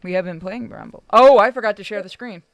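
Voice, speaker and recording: A woman's voice: mid-range voice, quick pace, vocal fry, no room sound. A woman chatting casually and candidly on a game livestream, swearing now and then. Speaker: game streamer.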